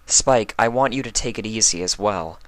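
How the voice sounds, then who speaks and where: A man voicing a regal, calm female pony princess in a measured, formal manner for a cartoon fan story. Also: fairly low pitch, no room sound, close to an everyday voice. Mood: gently cautioning.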